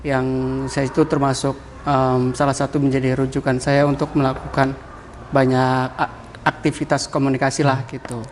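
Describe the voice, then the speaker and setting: A man's voice: medium pitch, level tone, normal speed, a little hiss or hum. A man with a Javanese ngapak accent, a podcast guest explaining ideas in a casual chat, often ending points with "kayak gitu.". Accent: Javanese Banyumas (ngapak). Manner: explains with many "kayak gitu" tags, laughs easily. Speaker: podcast guest, communication mentor.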